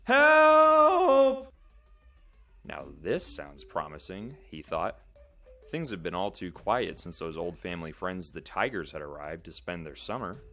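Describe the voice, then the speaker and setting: Male narrator, low voice with expressive swings, dramatic storybook read, music underneath. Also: moderate pace.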